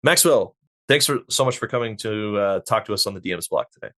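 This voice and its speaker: Low-voiced man hosting a podcast. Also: animated, brisk, pushed and bright. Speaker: podcast host. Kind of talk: casual interview.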